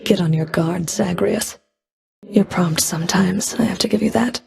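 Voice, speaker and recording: A woman's voice: on the low side, normal speed, gravelly, a little mellow. A woman playing a stern, scornful Fury of the underworld in a video game, speaking in a cool, clipped, disdainful manner. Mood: commanding. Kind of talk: video game combat and dialogue voice lines.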